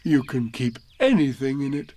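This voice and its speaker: A man voicing a gentle, simple-minded male bear who talks earnestly, in a storybook read-aloud. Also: mid-range voice, sing-song, moderate pace. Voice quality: earnest, soft-spoken.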